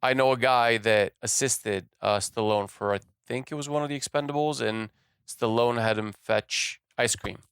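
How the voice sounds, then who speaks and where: A man from Bulgaria who moved to the US as a child, co-hosting a film podcast with halting, anecdotal casual talk. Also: mid-range voice, fairly even intonation, vocal fry, a bit bright, clean tone, no room sound, clean studio sound. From born in Bulgaria, moved to the US as a child. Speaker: podcast co-host.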